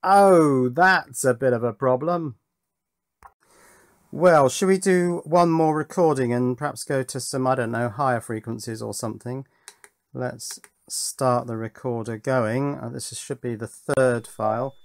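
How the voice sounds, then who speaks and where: A man's voice: mid-range voice, unhurried, no room sound. British man talking casually at a workbench. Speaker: presenter of an electronics project video. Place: indoors at a workbench.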